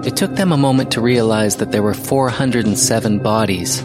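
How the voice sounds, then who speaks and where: An actor playing an investigative podcast host, narrating a mystery audio drama in a measured way with deliberate pauses. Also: male voice, on the low side, lightly acted. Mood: ominous, measured. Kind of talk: scripted audio drama narration, documentary style. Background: music.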